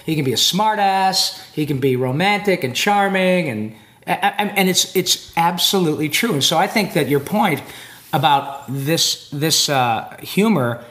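A man's voice: fairly high pitch, measured pace. A man chatting in an interview, telling long stories with lots of fillers and restarts. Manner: animated, rambling storyteller with many fillers. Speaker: guest voice actor. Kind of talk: casual interview chat. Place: convention floor.

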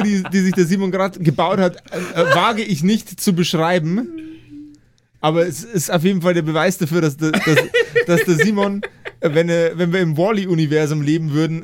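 A man's voice: on the high side, brisk, background noise. A man co-hosting a podcast in casual, jokey banter, slipping English phrases into his talk. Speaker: podcast co-host.

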